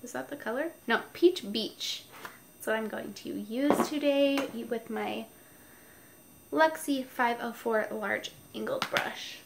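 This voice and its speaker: A woman's voice: on the high side, takes it slowly, gravelly, background noise. A woman with a stuffy nose, chatting casually through her makeup routine step by step while recording at home. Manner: chatty, explains each step. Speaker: beauty vlogger. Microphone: noisy mic.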